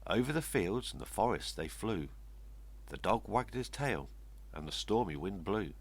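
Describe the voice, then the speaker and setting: An older man with a gravelly, husky voice reading a rhyming children's story expressively. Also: lowish voice, big pitch swings, normal speed. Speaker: story reader. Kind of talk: expressive read-aloud children's storytelling in rhyme.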